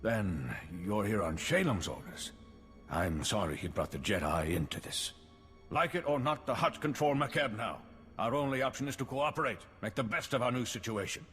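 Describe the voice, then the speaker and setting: An actor playing an anxious civilian official in a video game, earnest and placating in manner. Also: male voice, on the low side, expressive, normal speed, fairly bright tone, big-room sound, soft background music. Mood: earnest, resigned. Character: anxious male civilian official, pleading and pragmatic appeaser. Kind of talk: scripted game acting.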